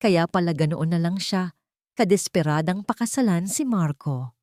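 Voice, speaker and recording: Low-pitched woman narrating a romance story. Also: expressive, normal speed, a little mellow, no echo, clean studio sound.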